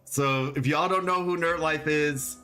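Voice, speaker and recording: A man's voice: on the high side, fairly even intonation, measured pace, pushed and bright, faint music behind. A man chatting casually on a game stream, rambling with lots of fillers in a laid-back way. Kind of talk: casual live-stream chat.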